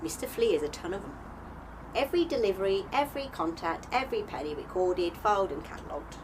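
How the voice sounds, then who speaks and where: A woman's voice: medium pitch, animated, fast, noisy background, close to an everyday voice. A British woman playing a streetwise, exasperated young servant, blunt and slangy, in an audio drama. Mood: guarded.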